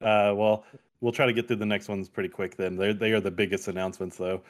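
A man hosting a casual gaming podcast, keeping the talk moving in a relaxed way. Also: lowish voice, quick pace.

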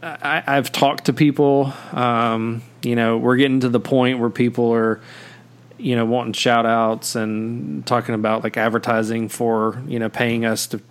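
A man's voice: medium pitch, level tone, measured pace. A man hosting a podcast in casual chat, rambling with many fillers and restarts. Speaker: podcast host.

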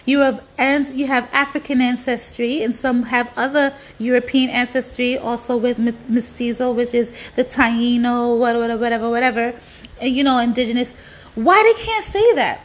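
A woman's voice: a little high, moderate pace. A woman delivering an opinionated, exasperated monologue in colloquial speech, with rhetorical questions and frequent swearing.

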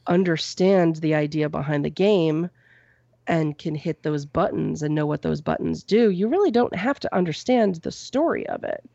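A woman with a gravelly, slightly low voice talks through a news item in a relaxed, rambling way, dotted with "um" and "you know". She is recorded close and dry on a boom-arm mic at home. The sound is a little dull and lo-fi. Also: normal speed, no echo. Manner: reads out a news story, then riffs on it loosely with "um" and "you know". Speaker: podcast co-host reading news. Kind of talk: casual news chat. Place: at home with a cat nearby. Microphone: microphone on a boom arm.

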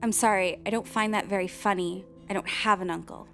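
A woman's voice: medium pitch, steady tone, brisk, bright, forceful tone. A woman playing a candid, wry, uneasy young filmmaker who narrates a radio play in a confiding way, with tangents and swearing. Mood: wary, annoyed. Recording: music behind the voice.

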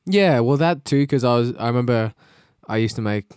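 A man's voice: medium pitch, expressive, brisk, darkish tone, smooth, dry recording, clean studio sound. A young Australian man co-hosting a podcast, chatting casually, rambling a little and laughing often. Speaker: podcast co-host. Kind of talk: casual chat. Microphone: podcast microphone.

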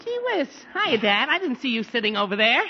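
An actor playing an excitable, noisy teenage boy in a 1940s radio comedy, with a high, bouncy boyish voice. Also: a little fast. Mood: cheerful. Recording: dull old radio broadcast recording.

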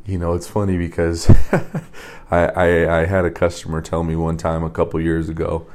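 A young man from Las Vegas hosting a podcast, telling stories in a loose, rambling way with repeated words and soft laughs. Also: sits low, completely flat delivery, normal speed. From Las Vegas, USA. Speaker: podcast host and coach. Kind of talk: casual storytelling monologue.

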